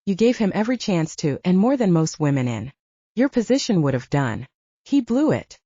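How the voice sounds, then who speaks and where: A woman's voice: fairly low pitch, very animated, normal speed, mellow, husky, no echo, dull audio, heavily acted. A woman as a blunt, protective best friend. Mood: indignant. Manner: encouraging, blunt. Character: loyal, brash female best friend, teasing and protective. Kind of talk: audiobook romance character acting.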